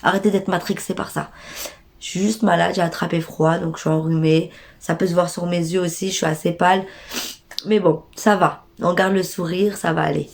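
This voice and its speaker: A young woman's voice, slightly low for a woman and audibly stuffed up from a cold. She rants in loose street slang and speaks directly to her viewers, indignant and exasperated. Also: steady tone, moderate pace, vocal fry, slight background noise. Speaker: vlogger. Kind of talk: casual storytime rant.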